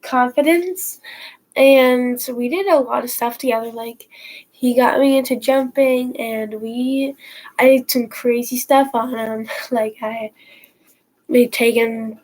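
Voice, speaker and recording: A teenage girl talks to her viewers at home, her nose stuffy from a cold. She rambles through her story in an unhurried way, with fillers and false starts, and her voice sits a little high. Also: steady tone, a little mellow, low-quality recording. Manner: rambling, many restarts and fillers. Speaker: horse vlogger. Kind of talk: casual storytime to camera. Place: at home, indoors.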